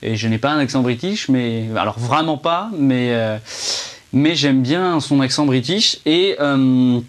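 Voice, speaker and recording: A man's voice: mid-range voice, unhurried. A young man giving a casual, rambling film review, chatty and full of fillers and restarts, speaking indoors to a camera under a ring light.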